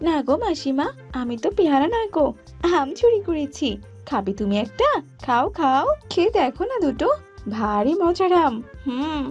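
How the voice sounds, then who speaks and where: Woman playing a high, cheeky little girl bird. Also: big pitch swings, moderate pace, muffled recording, soft background music. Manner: wheedling, playful. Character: cheeky, coaxing young female bird, mischievous daughter.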